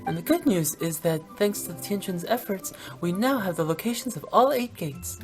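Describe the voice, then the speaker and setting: A woman's voice: mid-range voice, animated, normal speed, a little mellow, soft background music, lightly acted. A woman voicing a confident young female funeral-parlor director in a video game, briefing the others in a self-assured way.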